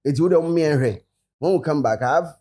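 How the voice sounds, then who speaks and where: A man's voice: on the high side, a little fast, dark tone. A man with a Ghanaian accent chatting casually as a panelist on a talk show, switching between English and local speech. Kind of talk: casual chat mixing English and Twi.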